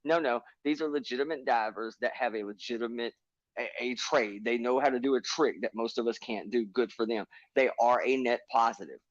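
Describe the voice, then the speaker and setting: A man holds forth on a live panel, punching his points with profanity and lecturing the others. His voice sits at a middling pitch and sounds close and dry. The stream audio is dull and lo-fi. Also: a little fast, no echo. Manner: emphatic, profane, lecturing rants. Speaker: livestream panelist. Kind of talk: heated panel chat.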